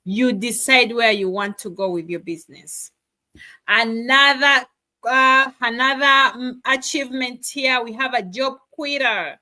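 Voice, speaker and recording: Ugandan-born woman co-host, bright and slightly high, celebratory energy on a video call. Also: unhurried. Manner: enthusiastic, celebratory. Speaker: co-host, digital business mentor. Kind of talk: upbeat live-stream chat.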